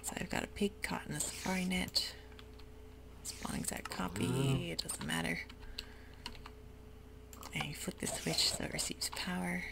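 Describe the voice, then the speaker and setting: A woman whispering ASMR gameplay commentary in a soft, rambling way with many ums. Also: lowish voice, gravelly. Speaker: gaming ASMR host.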